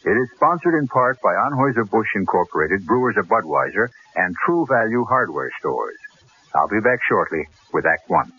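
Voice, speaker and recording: A man's voice: on the low side, very animated, normal speed. A man announcing sponsor credits in a scripted 1970s radio style. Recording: muffled vintage radio broadcast.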